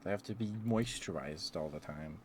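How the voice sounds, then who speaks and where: A man's voice: extremely low pitch, normal speed, slight background noise. A man streaming casual, rambling ASMR chat, musing aloud hesitantly and letting thoughts trail off.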